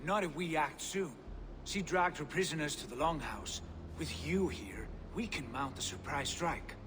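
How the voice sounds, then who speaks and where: An actor playing a proud, ambitious Norse warlord in a video game, lordly and declamatory. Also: male voice, a little high, a little slow, natural voice. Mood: earnest, confident. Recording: game dialogue over clear ambient noise.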